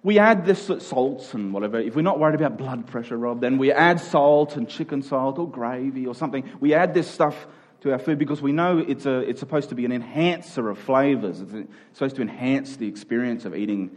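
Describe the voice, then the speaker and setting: A man's voice: mid-range voice, fairly quick. An Australian man giving a conversational sermon to a congregation in an informal, joking manner with rhetorical questions. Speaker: preacher. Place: live before a congregation.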